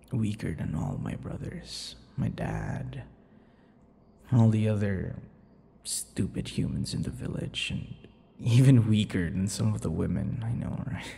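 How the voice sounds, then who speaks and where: Man acting a low, creaky, possessive vampire. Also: dark tone. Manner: teasing, breathy, often dropping to whispers and chuckles. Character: possessive centuries-old male vampire, handsome, teasing, softly menacing. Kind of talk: intimate ASMR boyfriend roleplay. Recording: long reverberant echo.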